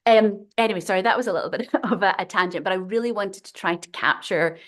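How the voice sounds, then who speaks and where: A woman's voice: medium pitch, quick pace. A woman writer being interviewed, talking in a chatty, digressive way and laughing often. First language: not Swedish. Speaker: guest writer. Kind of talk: casual interview conversation.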